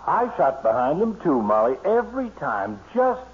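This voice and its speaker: A man playing a competitive, gruffly sentimental hunting pal, in drawn-out theatrical 1940s radio comedy acting. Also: a little high, big pitch swings. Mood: stubborn, tender. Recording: muffled, very noisy old radio recording.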